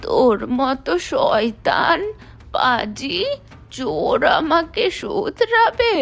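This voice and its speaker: A woman's voice: high-pitched, very animated, extremely slow, gravelly, a bit bright, soft background music, full character voice. A woman voicing a defiant, scolding old village woman in a Bengali cartoon. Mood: indignant, scolding. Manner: scolding, indignant.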